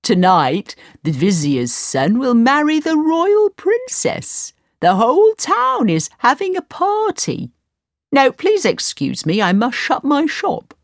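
A woman voicing a matter-of-fact market oil seller who explains things slowly in a storybook reading. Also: high voice, wildly swooping pitch, dry recording, clean studio sound, pitched above the performer's own voice, exaggerated voice. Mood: explaining.

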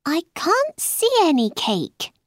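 Woman playing an outspoken, high-voiced little girl. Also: wildly swooping pitch, slow pace, dry recording, clean studio sound, heavily acted. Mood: puzzled. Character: Alice, polite but outspoken little girl.

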